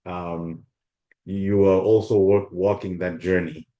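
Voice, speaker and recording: A man's voice: deep voice, flat delivery, takes it slowly, mellow, reverberant, lo-fi sound. A man in his forties from Zimbabwe giving an informal workshop talk over a video call, full of ums and restarts. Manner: hesitant, many ums and restarts. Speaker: guest speaker.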